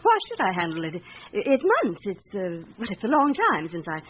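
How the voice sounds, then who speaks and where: A haughty society lady in an old radio drama. Her cold, imperious delivery swings widely in pitch, on a muffled recording with slight hiss. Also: sing-song, a little fast. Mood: defiant, indignant. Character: haughty, defiant upper-class married French lady suspect.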